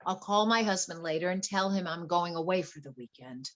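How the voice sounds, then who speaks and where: A woman's voice: lowish voice, lively intonation, fairly quick, fairly bright tone, highs cut off. A woman playing a betrayed wife turned coolly vengeful poisoner in a dramatic stage play reading. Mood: distraught. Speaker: actor in a play workshop.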